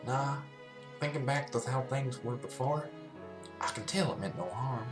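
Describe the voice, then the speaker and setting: Man voicing a folksy, earnest farm mare, pitched up. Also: measured pace, roomy sound, over music, natural voice. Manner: folksy, frank. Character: plain-spoken, earnest female farm pony.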